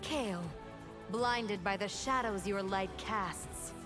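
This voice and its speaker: A woman voicing a brooding, defiant dark sorceress in a video game, a twin sister who is wry, pointed and wounded. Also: mid-range voice, takes it slowly, music in the background, close to an everyday voice. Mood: sorrowful, bitter. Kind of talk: theatrical video game voice acting.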